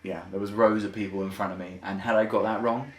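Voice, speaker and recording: A man casually reacting and telling anecdotes in an excitable, amused way. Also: lowish voice, quick pace. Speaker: amateur pantomime cast member. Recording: roomy echo.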